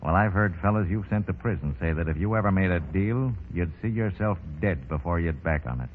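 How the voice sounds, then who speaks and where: An actor playing a smooth, shrewd gangster boss, with a brisk streetwise manner in 1930s radio drama style. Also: male voice, sits low, expressive, a little hiss or hum. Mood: sly, confiding. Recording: muffled 1930s radio recording with music.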